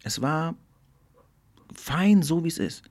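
A middle-aged man hosting a podcast, speaking in a thoughtful, earnest monologue that often slows and drops almost to a whisper. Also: fairly high pitch, expressive, darkish tone. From Germany. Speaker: podcast host. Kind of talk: reflective solo podcast monologue.

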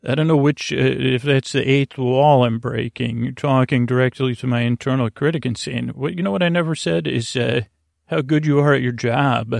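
A man with a gravelly, creaky voice hosting a bedtime sleep podcast in a meandering, halting monologue full of asides. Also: medium pitch, no echo, clean studio sound. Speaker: podcast host.

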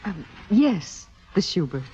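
An ambitious young actress in a 1950s radio drama. She speaks low and demurely, with carefully controlled false modesty. The sound is dull and dated. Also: sing-song, takes it slowly, dark tone. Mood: demure, modest. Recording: dull vintage radio recording.

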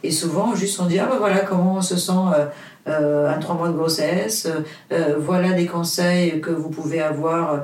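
A woman's voice: lowish voice, steady tone, normal speed, a little mellow. Woman, roomy distant sound. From Portugal. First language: Portuguese. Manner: hesitant, many fillers and restarts, earnest. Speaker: author, interview guest. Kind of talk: casual interview chat.

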